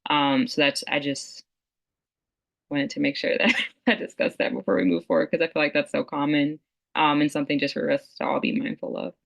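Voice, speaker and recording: A woman physician on a video call, giving earnest advice in a monotone delivery. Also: sits low, fairly quick, vocal fry. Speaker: physician panelist. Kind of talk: webinar panel advice. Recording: dull lo-fi video call audio.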